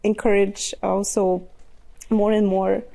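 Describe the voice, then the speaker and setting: A woman hosting a podcast, reading an introduction and then asking a guest gentle, probing questions. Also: medium pitch, flat delivery, slow pace, creaky, poor audio. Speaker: podcast host. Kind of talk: interview hosting with a read intro.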